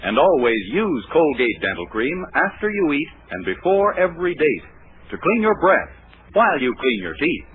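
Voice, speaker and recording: A man's voice: fairly high pitch, very animated, normal speed, slightly rough. A man with an American accent announcing a 1940s radio show and reading scripted sponsor copy. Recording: narrowband 1940s radio broadcast with music.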